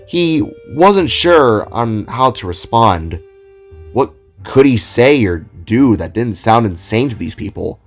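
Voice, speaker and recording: Guy reading story narration, really animated mid voice, light music underneath. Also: mid-range voice, takes it slowly. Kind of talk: scripted audio drama narration. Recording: music behind the voice.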